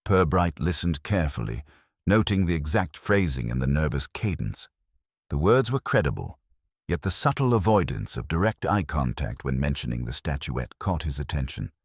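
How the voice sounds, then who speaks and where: A man with an extremely low voice reads a mystery story as narrator. His delivery is measured and formal, with lively swings in pitch. The sound is close and dry. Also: husky, no echo. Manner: measured, literary, formal phrasing. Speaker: audiobook narrator.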